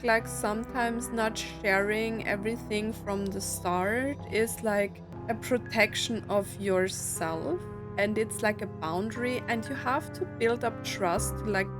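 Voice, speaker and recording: Unhurried woman doing game commentary. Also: mid-range voice. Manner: rambling, reflective thinking aloud. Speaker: streamer playing a story game. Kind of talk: casual let's play commentary.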